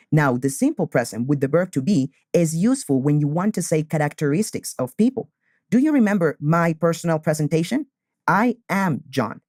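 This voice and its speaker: Slow, deliberate teacher. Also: male voice, a little high, darkish tone, no room sound, studio quality. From Colombia. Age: 20s. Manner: slow, deliberate, repeats key phrases. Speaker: English teacher. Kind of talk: beginner English lesson.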